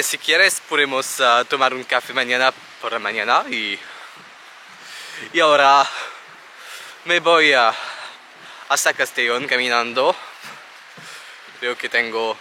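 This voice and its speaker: Slow-talking man vlogging. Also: mid-range voice, sharp tone, slight background noise. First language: French. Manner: halting learner's Spanish with restarts. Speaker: travel vlogger. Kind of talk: casual vlog talk to camera. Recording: music under the voice. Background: background music.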